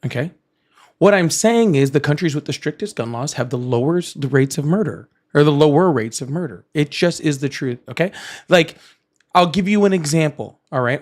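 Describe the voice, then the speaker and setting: Argumentative man hosting a live call-in. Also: a little high. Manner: argumentative, keeps adding "right?" and "okay?". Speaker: host of live political call-in stream. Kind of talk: casual live debate.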